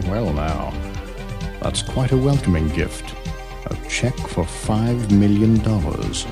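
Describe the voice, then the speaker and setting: A man's voice: fairly low pitch, expressive, slow, vocal fry, exaggerated voice. An actor playing a suave, unflappable male British secret agent in an audio storybook. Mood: wry, amused. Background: background music.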